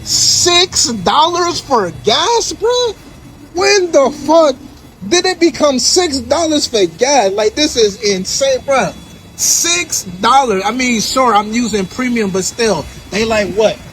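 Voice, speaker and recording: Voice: high-pitched, expressive, slow pace, a bit bright, low-quality recording. A person ranting casually in an incredulous, slangy way with swearing. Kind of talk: casual phone rant. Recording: faint vehicle background noise.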